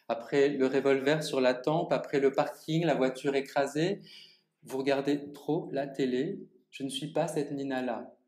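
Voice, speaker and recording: A man performs a public literary reading, turning his measured, theatrical lines straight at the listeners. His voice sits at an ordinary middle pitch. The sound bounces around a roomy gallery space. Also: darkish tone. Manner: measured, theatrical delivery addressing the audience directly. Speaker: author reading his own text. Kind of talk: public literary reading, first-person monologue. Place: art gallery. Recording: echoey gallery room.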